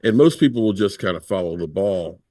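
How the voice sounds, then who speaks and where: A man's voice: sits low, animated, fairly quick, mellow, dry recording, studio quality. A man hosting a casual livestream chat, talking in a laid-back, conversational way. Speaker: livestream host.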